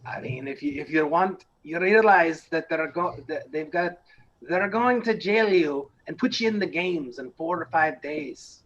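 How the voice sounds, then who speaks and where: A man's voice: fairly high pitch, measured pace, a bit bright, dull audio. Male game master over audible background noise. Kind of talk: improvised tabletop roleplay narration.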